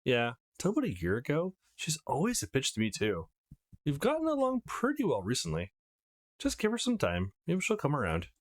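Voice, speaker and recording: A man's voice: on the high side, huge pitch swings, normal speed, voice pushed up. A man playing an easygoing, mildly exasperated young male protagonist of a visual novel, casual and dry. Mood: reassuring.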